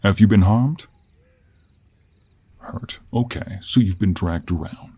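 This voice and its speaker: Guy playing a gruff stubborn cowboy monster hunter, real deep raspy voice, western us drawl, fantasy roleplay audio. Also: slow, mellow. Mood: stern, firm. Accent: American Western cowboy. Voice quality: husky, low. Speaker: audio role play performer. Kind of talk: dramatic audio role play.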